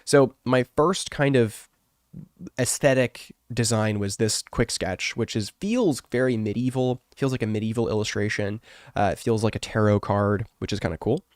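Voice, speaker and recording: A man's voice: fairly low pitch, normal speed, no echo, clean studio sound. Congested man with a cold. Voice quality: rough, wrecked voice. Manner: rambling and chatty, with many fillers. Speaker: artist and streamer. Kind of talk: casual live-stream chat.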